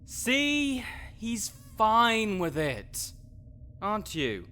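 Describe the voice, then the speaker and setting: A man's voice: high voice, wildly swooping pitch, very slow, bright, forceful tone, exaggerated voice. A man playing a grouchy, scholarly necromancer in an audio roleplay, condescending, pedantic and sarcastic. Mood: dry impatience. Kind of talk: audio roleplay acting addressed to the listener.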